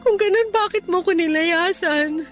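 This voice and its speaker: A woman's voice: extremely high pitch, measured pace, a bit bright, soft background music. A woman playing a remorseful, affectionate middle-aged mother in a radio drama, speaking with pleading emotion. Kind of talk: Tagalog radio drama acting.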